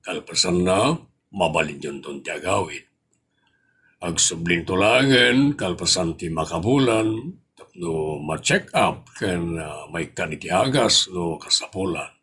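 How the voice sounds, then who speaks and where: A man playing a calm hospital doctor with an Ilocano accent, explaining in a measured, halting way in an audio drama. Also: sits low, slightly rough, full character voice. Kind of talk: comedy audio drama acting.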